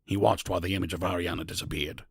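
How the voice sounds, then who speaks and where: A man's voice: low voice, little pitch movement, very fast, gravelly, slight background noise. A man narrating a scripted fantasy story as its narrator.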